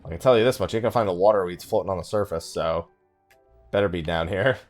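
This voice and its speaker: A man giving casual let's play commentary, rambling and thinking out loud with mild exasperation. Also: sits low, fast. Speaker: gaming video host. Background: game music.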